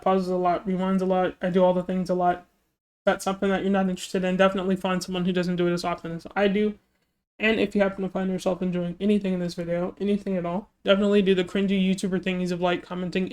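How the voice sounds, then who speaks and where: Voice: medium pitch, clear, resonant tone. Chatty streamer with a frayed throat. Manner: rambling and chatty, many fillers and self-interruptions. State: throat trouble, voice going in and out. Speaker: music video reaction streamer. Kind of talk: casual chat to camera.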